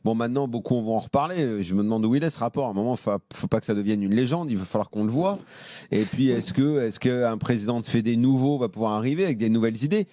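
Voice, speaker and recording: A man's voice: medium pitch, fast, warm tone, no echo. A man debating football as a studio pundit, emphatic and often restarting mid-sentence. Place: radio and TV studio.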